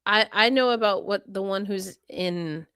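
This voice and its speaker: A woman's voice: mid-range voice, measured pace, fairly bright tone, clean tone, dry recording, studio quality. A woman hosting a sports talk show, blunt and exasperated, rambling through her asides. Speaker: podcast host. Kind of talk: casual sports chat.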